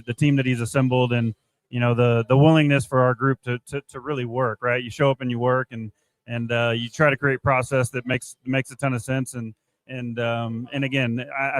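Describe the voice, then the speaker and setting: Male interviewee, sports director type. Rapid, level delivery with frequent restarts, dry sound. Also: medium pitch, dry recording, poor audio. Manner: halting, repetitive, filler-heavy phrasing. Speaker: baseball player development director, former player. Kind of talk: unscripted interview answers.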